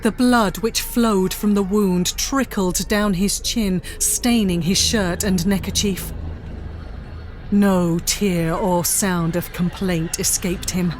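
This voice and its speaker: A woman narrating a serious dramatic story in a grave, deliberate manner. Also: mid-range voice, fairly even intonation, darkish tone, soft background music.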